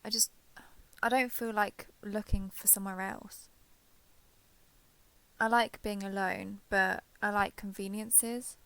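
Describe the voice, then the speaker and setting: A woman's voice: medium pitch, level tone, pushed and bright, dry recording. A woman playing a shy, guarded villain turned reluctant ally in a roleplay audio drama, speaking hesitantly and with reserve. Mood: subdued, resigned. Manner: hesitant, halting, reserved, understated. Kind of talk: roleplay audio drama, intimate one-sided dialogue.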